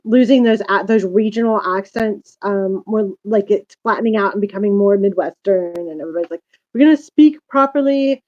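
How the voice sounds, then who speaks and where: A woman's voice: medium pitch, a little mellow. A woman hosting a casual chat, talking in long rambling turns full of asides. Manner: chatty, rambling with many asides. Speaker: host. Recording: dull lo-fi sound.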